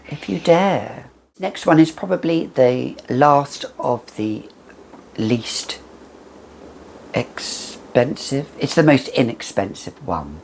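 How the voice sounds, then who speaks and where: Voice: mid-range voice, gravelly, a little mellow, slight background noise. A perfume reviewer chatting casually and emphatically to camera, with a whimsical, conversational manner.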